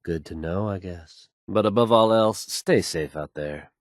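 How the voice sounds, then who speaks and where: A self-assured radio DJ for a video game. His voice sits fairly low and he announces in smooth, easygoing, fluent patter. The sound is very dry and free of room echo. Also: measured pace. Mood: steady, cautioning. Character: confident, smooth male radio DJ.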